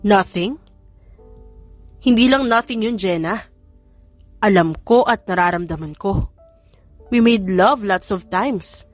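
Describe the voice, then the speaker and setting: A man's voice: high-pitched, takes it slowly, pauses often, no room sound, soft background music. A woman voicing a desperate, lovesick young man who pleads with his ex in a storytelling audiobook. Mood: pleading, hurt.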